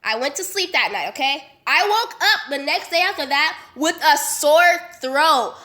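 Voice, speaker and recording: A young woman from Las Vegas, sick and congested with a nasal voice, telling a story in a chatty, animated way full of slang and fillers. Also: high-pitched, unhurried. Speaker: vlogger. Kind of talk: casual storytime vlog.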